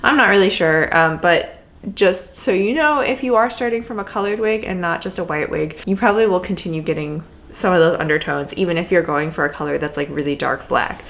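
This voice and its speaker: A woman's voice: on the low side, brisk, creaky, fairly bright tone. A young woman with a stuffy, sniffly nose, chatting casually to camera about her cosplay. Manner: chatty, laughs often, many fillers. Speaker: cosplay vlogger.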